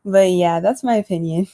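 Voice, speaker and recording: A woman's voice: medium pitch, big pitch swings, unhurried, warm tone. A young woman on a church discussion panel, speaking hesitantly with lots of fillers.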